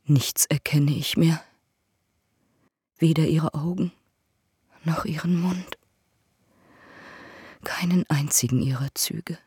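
An actress playing a bitter, vengeful, unsentimental woman in a radio drama, with a low husky voice and a cold, sardonic manner. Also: takes it slowly, long pauses, warm tone, no echo, heavily acted. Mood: appalled, grim.

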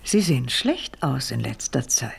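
An actress playing a composed, worldly French noblewoman in a German radio play, with a measured, genteel manner. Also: extremely low pitch, big pitch swings, gravelly, slight background noise, full character voice. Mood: confiding, probing.

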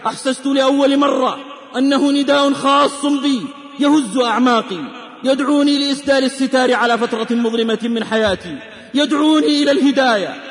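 High-pitched man preaching in long reverb. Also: fairly bright tone. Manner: solemn, emotive, declamatory. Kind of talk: dramatic sermon with storytelling, rhymed exhortations and verse. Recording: long reverberant hall-like echo on voice.

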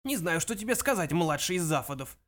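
A man voicing a grumpy, scornful ancient ghost of a great-grandfather, with a creaky voice and stern, pompous scolding, in an audiobook. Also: on the high side, big pitch swings, fairly quick, a bit bright. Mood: grumbling.

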